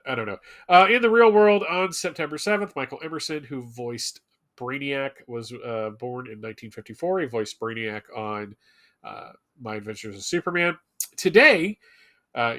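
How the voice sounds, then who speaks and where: A man co-hosting a live fan show, reading a loosely scripted rundown with hesitant pauses and wry asides. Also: on the high side, pushed and bright. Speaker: show co-host presenting comics history segment.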